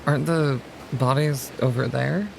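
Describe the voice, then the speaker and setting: Man playing nervous, creaky-voiced, halting Claire. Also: medium pitch, fairly even intonation, a little mellow, slight background noise, poor audio. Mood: bewildered, hesitant. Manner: stammering, halting, timid. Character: nervous, hesitant civilian woman named Claire. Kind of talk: audio drama acting.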